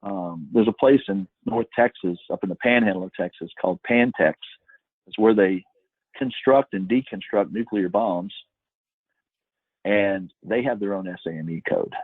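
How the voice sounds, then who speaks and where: A man's voice: fairly low pitch, moderate pace, dry recording. A man answering questions as a guest expert in an informal webinar, giving emphatic advice over a phone-like connection. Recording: tinny phone-line sound, dry.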